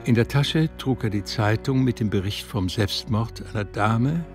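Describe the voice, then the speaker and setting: A man's voice: lowish voice, animated, moderate pace, soft background music. A man narrating a literary radio play from a script.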